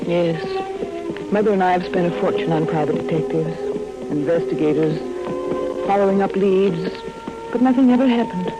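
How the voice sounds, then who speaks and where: Actress as lonesome young radio-drama heroine, music behind. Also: female voice, lowish voice, moderate pace, natural voice, poor audio. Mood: guarded, wistful. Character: lonesome, inviting young ranch woman. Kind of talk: 1940s radio acting. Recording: vintage radio drama recording, clear music.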